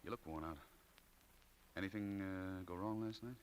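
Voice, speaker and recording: A man playing a mild, considerate shop manager in 1940s radio acting, speaking haltingly and earnestly, on an old radio recording. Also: deep voice, clear, resonant tone, lightly acted. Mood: gentle, hesitant. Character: mild, considerate newly promoted male shop manager, hesitant.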